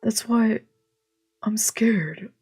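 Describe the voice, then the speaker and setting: A woman voices an androgynous young man, anxious and wistful, as in a visual novel. The voice is mellow and husky, confiding in halting casual phrases over faint music. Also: a little high, pitched above the performer's own voice, full character voice. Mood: wistful, earnest. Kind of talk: reading visual novel dialogue aloud. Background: game music.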